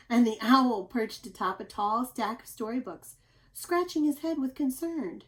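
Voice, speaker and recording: Female teacher for children's read-aloud: slightly high pitch, expressive, deliberate emphasis, conversational questioning style. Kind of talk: picture-book read-aloud with asides to children.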